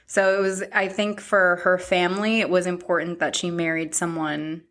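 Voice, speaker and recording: A woman in her thirties hosting a podcast, chatting in a reflective, halting way. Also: lowish voice, little pitch movement, a bit bright. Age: 30s. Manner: reflective, speaks in short halting phrases. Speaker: podcast host. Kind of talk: casual chat.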